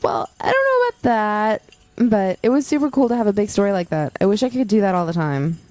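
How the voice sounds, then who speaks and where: A woman's voice: medium pitch, big pitch swings, fairly quick, a little mellow, no echo, natural voice. A woman playing a keen, friendly young campus journalist in a naturalistic audio drama, conversational and earnest. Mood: friendly excited.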